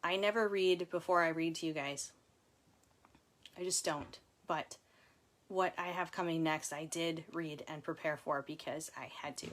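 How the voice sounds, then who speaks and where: A woman's voice: deep voice, fairly even intonation, measured pace. A woman author reading and chatting casually to viewers, with informal, chatty asides. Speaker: author reading from her book. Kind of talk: casual livestream book reading and chat.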